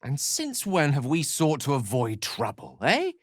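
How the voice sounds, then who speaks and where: Eloquent British wizard, very expressive. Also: male voice, a little high, a little slow, no echo, clean studio sound, exaggerated voice. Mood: earnest, firm. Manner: eloquent, bookish, slightly pompous phrasing. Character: learned, eloquent adult male wizard, proud and romantic. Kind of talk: video game character voice lines.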